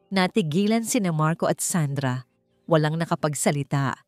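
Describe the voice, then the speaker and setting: A woman's voice: low-pitched, animated, normal speed, a little mellow, soft background music. A woman reading a scripted romantic story as a dramatic narrator.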